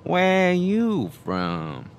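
An actor playing a surly, cagey small-town shopkeeper in an audio drama, terse and deadpan. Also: male voice, high-pitched, drawn-out words, clean tone, heavily acted. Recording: faint outdoor ambience behind.

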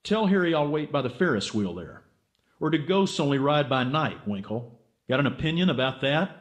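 A man's voice: fairly high pitch, very animated, moderate pace. A man playing an earnest, stubborn American writer in a radio drama, blunt and insistent. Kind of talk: radio drama acting and narration.

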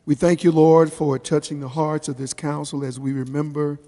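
A man's voice: fairly high pitch, flat delivery, moderate pace, warm tone. A man leading a public prayer in a solemn, emphatic way, phrase by phrase, at a civic meeting. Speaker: council member leading opening prayer. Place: indoor council chamber. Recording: reverberant hall.

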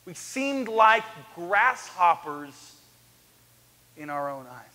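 A man in his thirties preaching an animated sermon on a church stage, swinging from loud to hushed. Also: high-pitched, slow pace. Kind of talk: lively sermon. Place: church sanctuary, large, indoors. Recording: echoey church hall.

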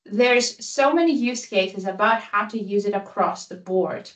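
A woman's voice: medium pitch, unhurried. A woman in her thirties with a Greek accent presents over a video call, upbeat and eager, slipping in dry jokes. Her mid-height voice sounds a little far from the mic in an echoing room. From Greece and Italy. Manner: upbeat, enthusiastic, self-deprecating humor. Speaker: guest speaker, consultant.

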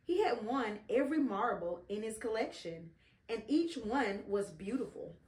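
A middle-aged woman reading a children's story aloud expressively, pausing to prompt and encourage a child. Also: fairly high pitch, animated, a little mellow. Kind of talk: children's read-along storytelling. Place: indoor living room beside a Christmas tree. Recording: roomy, echoing home recording.

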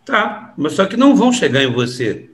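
A man's voice: high voice, big pitch swings, moderate pace. An actor playing a cynical, streetwise middle-aged crook and father in an audio drama, taunting and slangy. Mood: mocking.

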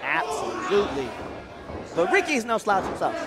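A man's voice: high voice, wildly swooping pitch, moderate pace. A man giving informal, conversational color commentary at a live wrestling match. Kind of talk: casual live sports commentary. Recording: loud crowd and venue noise behind. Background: wrestling crowd, ring slams.

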